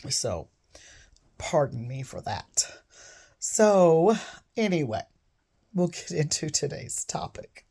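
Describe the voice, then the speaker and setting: Hoarse, gravelly woman with a cold. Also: low-pitched, sing-song, slow pace, long pauses, dark tone. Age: 50s. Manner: rambling, digressive, earnest. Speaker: vlogger. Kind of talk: casual storytelling to camera. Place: at home with her dogs.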